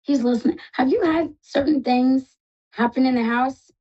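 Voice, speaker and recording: A woman with a New York accent hosting a live psychic call-in show, talking fast and reassuring callers affectionately. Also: sits high, breathy edge, dull audio. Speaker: psychic radio host.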